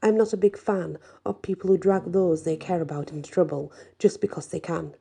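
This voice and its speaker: A woman acts out a young female werewolf heroine in a fantasy story. She is sassy and blunt, sarcastic in temper, with a husky, mellow, fry-edged voice. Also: on the low side, brisk. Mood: indignant. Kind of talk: acted storybook dialogue.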